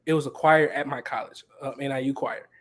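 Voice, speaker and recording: A man's voice: medium pitch, a little fast. A young man who plays music at church, telling stories casually on a panel and building on what others said. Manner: relaxed, storytelling, builds on others.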